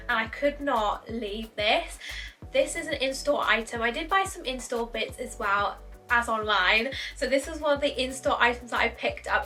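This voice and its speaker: A woman's voice: on the high side, bright, forceful tone. A young woman chatting to camera about clothes she bought, gushing and laughing at herself, a little nasal and bunged up. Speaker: fashion vlogger. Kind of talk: clothing haul chat to camera. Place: small indoor room.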